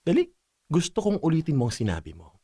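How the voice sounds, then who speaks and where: A woman's voice: low-pitched, wildly swooping pitch, quick pace, mellow, breathy edge, full character voice. A woman playing a strict, hot-tempered elder sister who scolds and interrogates like a parent, in radio drama style. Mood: cold, menacing.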